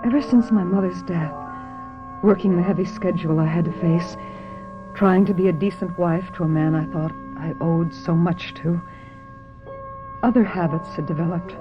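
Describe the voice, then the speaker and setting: An actress playing a haunted, pill-dependent film star in a radio drama, theatrical, measured and emotionally raw. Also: female voice, lowish voice, fairly even intonation, music in the background, lightly acted. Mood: wistful confession. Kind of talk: radio drama acting, confessional narration and dialogue. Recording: dull lo-fi radio drama recording.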